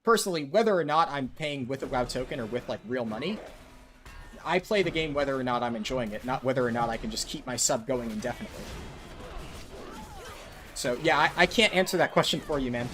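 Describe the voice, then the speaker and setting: A man's voice: mid-range voice, lively intonation, fairly quick, fairly bright tone, soft background music. A man live-streaming a game, chatting with viewers in a casual way with lots of ums and likes. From United States. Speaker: livestreaming gamer.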